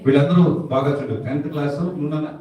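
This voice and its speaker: A man's voice: on the high side, fairly even intonation, rapid-fire, dark tone. A man presenting to children as a ventriloquist, chatting informally and bantering with repeated questions. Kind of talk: informal stage talk to children. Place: church hall. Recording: echoey hall, distant microphone.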